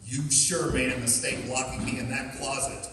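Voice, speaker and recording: A man playing a gruff, sarcastic, exasperated police inspector in an old-time radio detective drama, full of bluster. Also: mid-range voice, fairly even intonation, moderate pace, lightly acted. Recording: echoey hall, distant, faint noise.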